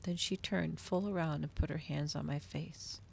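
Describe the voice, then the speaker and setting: A woman giving an ASMR reading of a story in a soft, hushed, close delivery. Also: deep voice, fairly even intonation, normal speed, creaky, a little hiss or hum. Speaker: streamer hosting a live reading.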